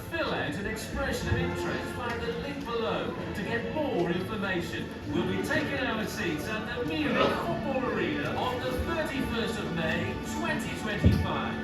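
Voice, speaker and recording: An upbeat advertising voice-over with a lively, varied pitch and a selling tone. It plays through speakers in a big echoey room and reaches the mic from a distance. Loud backing music runs under the whole time. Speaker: promo voice-over. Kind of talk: advertisement narration.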